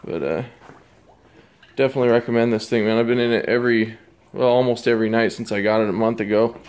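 A man casually showing off his hot tub in a garage, talking in a relaxed, rambling way with plenty of fillers, recorded on a handheld phone. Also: mid-range voice, quick pace. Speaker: product reviewer. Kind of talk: casual product walkthrough.

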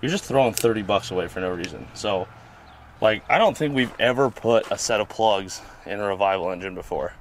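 A laid-back man with a lowish voice talks through a repair job, rambling a little and swearing casually. He sounds relaxed and hands-on, explaining as he works outdoors by a vehicle, with faint noise in the background. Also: fairly quick. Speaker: host and mechanic.

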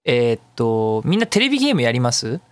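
A man's voice: mid-range voice, wildly swooping pitch, fast, no echo. A man hosting a casual solo radio talk, chatty and digressive, explaining at length with self-mocking asides. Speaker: radio show host.

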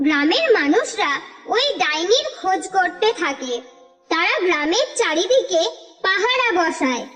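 High, lively female storyteller. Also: measured pace. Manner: steady, expressive storytelling. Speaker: narrator. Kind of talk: scripted storybook narration. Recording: reverberant with music underneath.

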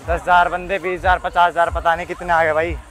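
A young man with a fairly high voice vlogs in a loose, excitable way. He speaks into a phone held at arm's length out on the street. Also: fast. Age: young adult. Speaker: vlogger. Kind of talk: casual vlog chat. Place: outdoors on streets and grounds near a large crowd.

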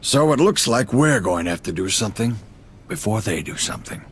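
An actor playing a calculating, middle-aged mafia boss in a video game, speaking with measured authority. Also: male voice, on the low side, animated, a little hiss or hum, close to an everyday voice. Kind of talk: video game cutscene acting.

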